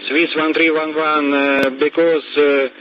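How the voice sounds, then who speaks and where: Slow, hesitant male controller on radio. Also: a little high, flat delivery. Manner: hesitant, groping for English words. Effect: aviation radio. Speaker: air traffic controller. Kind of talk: radiotelephony instructions. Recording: dull radio transmission.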